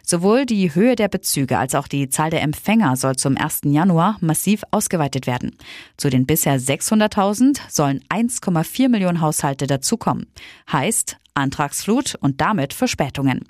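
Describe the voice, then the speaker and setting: A woman reads a news bulletin in an even, matter-of-fact tone. Her voice is very low for a woman. The recording is dry and close, with no room sound. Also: moderate pace, no echo. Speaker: news reader.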